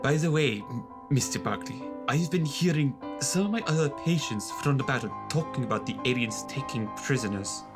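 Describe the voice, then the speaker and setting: A man's voice: mid-range voice, animated, normal speed, over music, natural voice. A man playing an earnest, slightly hesitant ship's doctor and inventor in a sci-fi audio drama. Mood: worried.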